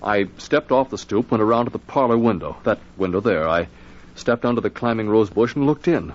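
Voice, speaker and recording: A man's voice: lowish voice, lively intonation, a little fast. A man playing a shaken, evasive husband in an old-time radio mystery, speaking nervously with stammered, repeated words. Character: shaken, evasive husband feigning innocence, male. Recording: muffled old radio recording.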